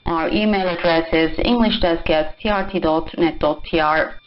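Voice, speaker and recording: A woman's voice: on the low side, moderate pace. A woman radio announcer reading a formal, scripted station announcement. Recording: muffled shortwave radio broadcast with static.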